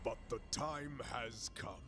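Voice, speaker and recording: A man's voice: mid-range voice, takes it slowly, music in the background, lightly acted. A man playing a grave, imperious alien warlord in a video game, making solemn, grandiose pronouncements. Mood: ominous judgment. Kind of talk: animated video game cutscene acting.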